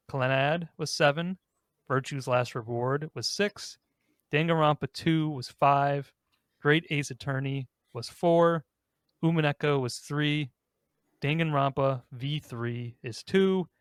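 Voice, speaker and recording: A man's voice: fairly high pitch, a little slow, smooth, no room sound, studio quality. A man hosting a casual podcast, chatting conversationally about games. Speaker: podcast host.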